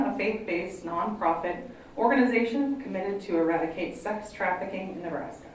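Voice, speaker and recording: A woman's voice: medium pitch, distant mic, slight background noise. A woman who directs a college counseling program, welcoming an audience and introducing speakers in a friendly, slightly apologetic way. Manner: friendly, apologetic, reads prepared bios. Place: college event room. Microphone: handheld microphone. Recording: very echoey room, voice from afar.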